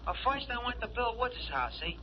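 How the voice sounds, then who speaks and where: High-voiced, slangy radio sidekick. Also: male voice, very animated, a little fast. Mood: eager, chatty. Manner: slangy, ungrammatical, folksy. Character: dim, eager working-class sidekick with slangy speech. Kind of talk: 1940s radio acting. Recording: noisy, muffled old radio recording.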